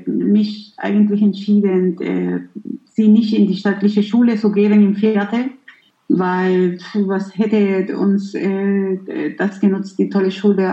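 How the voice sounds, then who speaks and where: A woman's voice: medium pitch, reverberant, dull audio. A middle-aged woman whose first language is Spanish, speaking German in a thoughtful, hesitant interview with many fillers. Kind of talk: reflective personal interview.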